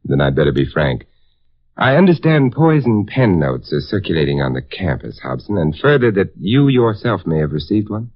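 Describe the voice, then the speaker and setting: A suave wry detective, deep voice, bouncy delivery, dull old radio recording. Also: male voice, big pitch swings, moderate pace, dry recording. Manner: dry, wry, polite. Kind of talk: 1950s radio drama acting. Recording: dull lo-fi 1950s radio broadcast.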